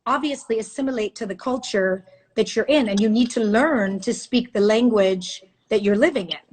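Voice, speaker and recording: A woman hosting a casual live video interview, enthusiastic and animated as she tells stories. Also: on the high side, normal speed, breathy edge. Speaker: host, news anchor. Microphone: phone or video call.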